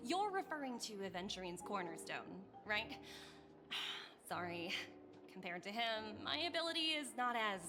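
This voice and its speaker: An actress playing a confident, teasing young woman in a video game, breezy and theatrical. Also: mid-range voice. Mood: teasing, confiding. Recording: music behind.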